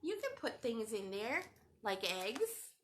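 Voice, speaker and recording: A woman hosting a children's craft lesson at home, talking straight to young viewers in an animated, sing-song way. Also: fairly high pitch, slow pace, slight background noise. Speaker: children's show host. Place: home room with a cat nearby.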